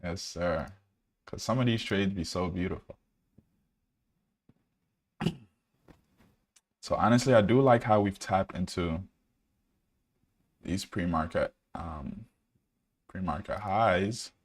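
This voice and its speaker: A young man from Ghana with a deep, low voice talks through a live trading stream in a relaxed, casual way. He fills pauses with "like" and "um" and answers viewers' chat questions as they come in. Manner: relaxed, laid-back, many fillers, reads out chat questions. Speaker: day trader streaming live.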